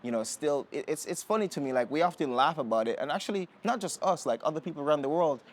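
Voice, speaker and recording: A young man from Jamaica talking as a podcast guest, relaxed and rambling with many fillers and restarts. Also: a little high, fast talker. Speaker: guest, Olympic sprinter. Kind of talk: casual interview talk. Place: indoor podcast setting.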